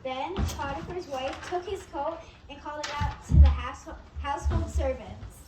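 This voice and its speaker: A woman's voice: sits high, moderate pace, loud music behind the voice. A woman reading scripted narration for an amateur reader's theatre. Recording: echoey, distant, noisy.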